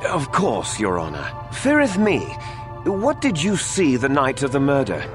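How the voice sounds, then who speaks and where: An actor playing a smug, combative prosecutor in a video game, formal and pompous in manner, addressing a courtroom. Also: male voice, mid-range voice, wildly swooping pitch, a little slow, loud music behind the voice. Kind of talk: theatrical courtroom game dialogue.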